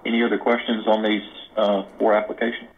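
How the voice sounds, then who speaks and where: Meeting chair: American man, very low flat voice, procedural delivery, faintly noisy line. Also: fairly quick. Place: conference call. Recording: noisy, narrow telephone line.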